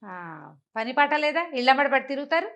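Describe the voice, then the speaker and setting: A woman's voice: sits high, big pitch swings, brisk, a bit bright, highs cut off, full character voice. A woman voicing an irritable village housewife in a moral tale, indignant and demanding in manner. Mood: angry scolding.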